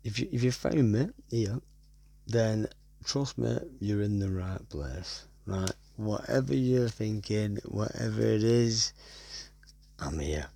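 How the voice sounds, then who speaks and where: A man's voice: lowish voice, slow pace, gravelly, dark tone. A man in his thirties from North West England with a Wigan accent, hosting a podcast as a sweary casual monologue full of "right?" tags. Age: 30s. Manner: swears a lot and keeps tagging "right?". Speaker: podcast host.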